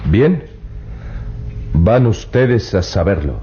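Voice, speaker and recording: An actor playing an earnest, persuasive young treasure hunter in a vintage radio drama. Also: male voice, lowish voice, sing-song, extremely slow, dark tone, faint music behind, exaggerated voice. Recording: dull lo-fi old radio recording.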